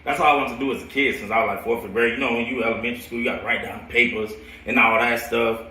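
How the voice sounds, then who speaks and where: A man's voice: medium pitch, steady tone, quick pace, bright, forceful tone, a little hiss or hum. An American man in his late twenties telling stories casually in a slangy, rambling way, often repeating his phrases. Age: late 20s. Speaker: football coach telling his own stories to viewers. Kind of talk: casual storytelling livestream. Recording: roomy echo, distant from mic.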